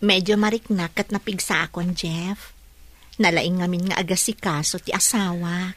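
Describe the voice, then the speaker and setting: A woman playing a gentle, grateful young wife in an Ilocano radio drama, with an Ilocano accent. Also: fairly low pitch, steady tone, moderate pace, natural voice. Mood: tender, grateful.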